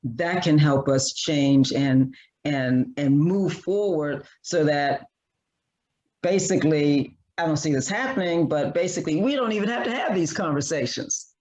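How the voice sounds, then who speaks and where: A man speaking as a panelist in an online discussion, earnest and repetitive, halting with many restarts. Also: on the high side, darkish tone, highs cut off.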